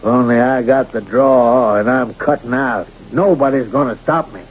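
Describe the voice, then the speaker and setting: An American actor playing a crooked, domineering town boss and gambler in a Western radio drama, with rough colloquial talk. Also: male voice, mid-range voice, moderate pace, natural voice. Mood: menacing. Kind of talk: old-time radio drama acting. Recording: muffled, noisy old radio recording.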